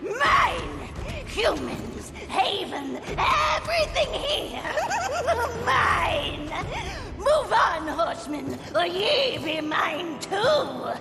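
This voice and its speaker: A very high, creaky demoness, greedy and spiteful, savoring her gloats very slowly. Her theatrical video game villainy echoes under loud music. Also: sing-song, full character voice. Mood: greedy gloating. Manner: theatrical, cackling, drawn-out gloating. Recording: echoing, loud music behind.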